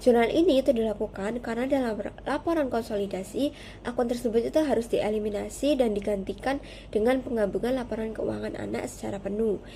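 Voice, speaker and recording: A young woman with a mellow, fairly high voice. She delivers a prepared student presentation, formal and methodical, moving step by step. Some background noise sits behind her. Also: brisk, vocal fry. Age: young adult.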